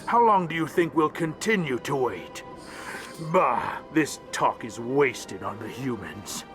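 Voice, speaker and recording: A man's voice: mid-range voice, lively intonation, slow, music in the background. A man voicing a grumbling, resentful small alien ambassador in a video game, haughty and clipped in his phrasing.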